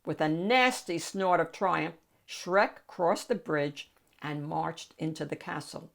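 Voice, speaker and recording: A woman's voice: deep voice, slow pace, gravelly, fairly bright tone. A woman reading a picture book aloud expressively, as the host of a storytime. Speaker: storybook reader and channel host.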